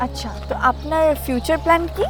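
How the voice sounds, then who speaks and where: Actress as a high-voiced, bold young woman. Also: quick pace, over music, lightly acted. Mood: curious, coaxing. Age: young adult. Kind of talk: acted dialogue in a Bengali short film.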